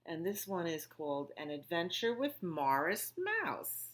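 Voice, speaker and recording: A woman's voice: on the low side, lively intonation, unhurried, fairly bright tone. A woman hosting storytime for young children, reading picture books aloud and explaining things simply and expressively. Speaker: children's library storytime host.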